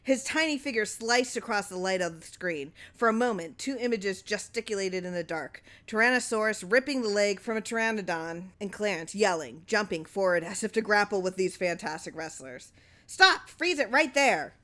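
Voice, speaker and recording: A woman's voice: medium pitch, brisk, few pauses, a bit bright. A woman reading a short story aloud as scripted narration. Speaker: story reader.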